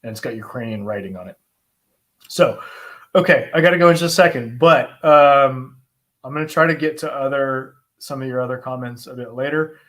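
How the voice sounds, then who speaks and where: A man with an American accent answering viewer questions in an informal, conversational way, thinking aloud with pauses as he explains. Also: mid-range voice, fairly bright tone. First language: English. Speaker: host, asylum lawyer answering viewer questions. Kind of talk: informal live Q&A, explaining law.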